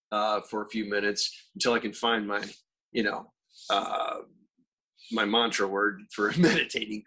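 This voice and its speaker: Chill guy cohosting a podcast, husky bright voice, says dude a lot. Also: on the low side, poor audio. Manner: laid-back, swears casually, says dude. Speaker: podcast co-host. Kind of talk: casual chat.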